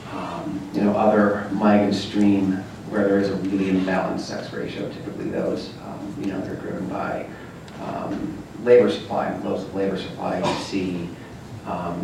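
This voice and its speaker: A man's voice: sits low, gravelly. A man on a university panel answering an audience question, hesitant and full of fillers, speaking into a handheld mic. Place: large echoey lecture room. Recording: echoey room from far off with noise.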